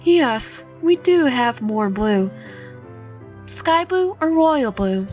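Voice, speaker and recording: A woman voicing a polite, busy young toy shop clerk in a visual novel, with a halting customer-service manner. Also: high voice, expressive, darkish tone, voice pushed up, heavily acted. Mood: polite, helpful. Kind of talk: visual novel character read aloud. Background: background music.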